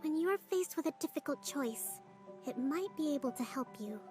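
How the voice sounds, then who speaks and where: A woman voicing a calm, composed young female island leader and strategist in a game cutscene, over game music. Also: high voice, moderate pace. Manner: gentle, composed, thoughtful. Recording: game audio with loud music.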